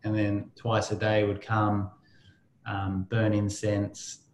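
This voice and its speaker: A man's voice: lowish voice, completely flat delivery, slow pace, a little mellow, echoey room, slight background noise. A man hosting a podcast interview, chatting loosely, telling stories with a laugh and some swearing. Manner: storytelling, swears freely, laughs while talking. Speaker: podcast host. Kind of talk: casual interview chat.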